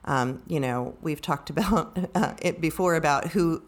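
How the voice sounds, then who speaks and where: A woman's voice: low voice, measured pace. A middle-aged woman speaking reflectively and conversationally as a co-host in a book discussion. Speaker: podcast co-host, librarian.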